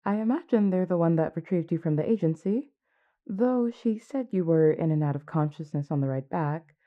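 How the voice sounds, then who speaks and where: A woman playing a gentle, courteous vampire queen in an intimate audio roleplay, speaking politely and reassuringly. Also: fairly low pitch, lively intonation, normal speed, narrow, tinny audio, close to an everyday voice. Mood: courteous, careful. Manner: polite, soft-spoken reassurance with hesitant asides.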